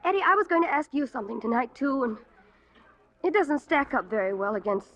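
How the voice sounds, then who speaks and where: A woman's voice: sits high, big pitch swings, a little fast, fairly bright tone. An American actress playing a guarded, wistful young woman, wry and hesitant, in 1940s radio drama. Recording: muffled old radio broadcast.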